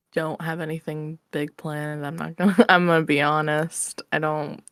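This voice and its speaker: A woman's voice: sits low, no echo. A woman chatting casually as an interview guest, informal and playful, speaking into a close microphone. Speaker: interview guest, ASMR roleplay creator. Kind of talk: casual chat. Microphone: close microphone on an online call.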